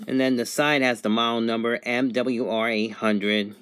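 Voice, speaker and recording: A man giving a casual unboxing and review narration in a halting, methodical way, in short phrases. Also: mid-range voice, steady tone, a bit bright, clean tone, no room sound. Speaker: product reviewer.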